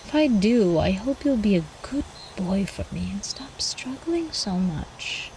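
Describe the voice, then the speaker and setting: A woman voicing an ancient, seductive vampire countess in an intimate ASMR roleplay, teasing and cooing with quiet menace, often slipping into whispers. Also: mid-range voice, lively intonation, a little slow, noisy background. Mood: doting, teasing.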